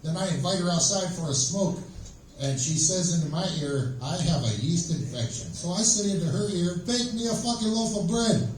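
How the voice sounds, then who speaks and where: A man doing an amateur stand-up set on stage, telling jokes in a halting, rambling way. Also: high-pitched, lively intonation, a little mellow, a little hiss or hum. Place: small live comedy venue, stage. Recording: echoey room, distant microphone.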